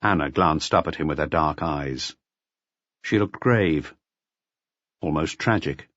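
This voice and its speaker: A man reading a detective novel aloud as an expressive audiobook narrator. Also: a bass voice, takes it slowly, dry recording, muffled recording.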